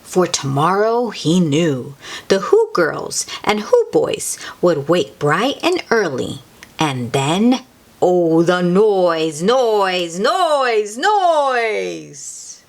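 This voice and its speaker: Woman, children's story host. Very lively intonation, unhurried pace, exclaims between lines of the book. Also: lowish voice. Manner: animated, exclaiming, drawn-out words for effect. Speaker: children's read-aloud host. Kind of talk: expressive picture-book read-aloud with asides to young listeners.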